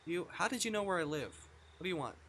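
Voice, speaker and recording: A man plays an indignant visual novel protagonist, exasperated and confrontational. The delivery is incredulous and animated, in a bright, sharp voice. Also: high voice, quick pace, a little hiss or hum, pitched above the performer's own voice, heavily acted. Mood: suspicious.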